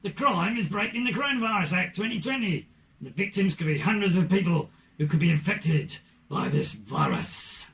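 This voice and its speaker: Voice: mid-range voice, big pitch swings, moderate pace, fairly bright tone, distant mic, a little hiss or hum. A performer playing an officious, insistent police officer in a scripted puppet show.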